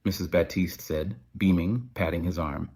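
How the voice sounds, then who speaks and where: A man's voice: sits low, normal speed, a little mellow, breathy edge. A man narrating a scripted audio story.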